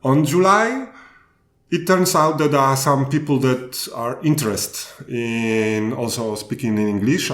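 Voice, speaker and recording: Slow-spoken Polish-accented man. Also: mid-range voice, reverberant. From Poland. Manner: slow, halting answers with restarts. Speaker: guest speaker. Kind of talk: podcast interview.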